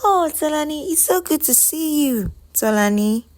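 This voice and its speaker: A woman's voice: mid-range voice, wildly swooping pitch, a little slow, a little mellow, pitched above the performer's own voice, exaggerated voice. A Nigerian woman voicing an emotional young female friend in a story, exclaiming and pleading. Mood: anxious concern.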